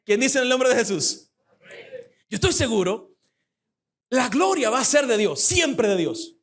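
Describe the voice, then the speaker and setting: Young Dominican man, high-pitched, fervent fast-talking guest preacher making an appeal in church. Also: sing-song, a bit bright, husky. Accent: Dominican Spanish. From Dominican Republic.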